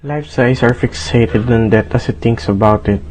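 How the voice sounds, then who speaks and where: A man's voice: mid-range voice, normal speed, crowd noise. A man narrating a radio drama as a scripted storyteller.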